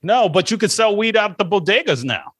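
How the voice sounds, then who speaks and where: A young man riffs on a podcast, cursing casually and tossing off silly impressions. His voice sits a little high for a man and rings bright and forceful. The sound is close and dry, with no room around it. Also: animated, a little fast, slightly rough, dry recording. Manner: profane, jokey, does silly voice bits. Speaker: podcast co-host. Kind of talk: casual chat.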